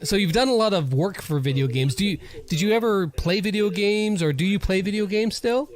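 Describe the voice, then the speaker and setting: A man's voice: high voice, fairly quick. A man hosting a casual interview, asking questions in an informal, enthusiastic way. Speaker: interviewer, host.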